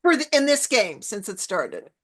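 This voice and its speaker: A woman's voice: a little high, sing-song, normal speed, fairly bright tone. A woman hosting an interview, asking long, winding questions full of "like" and restarts. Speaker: podcast host.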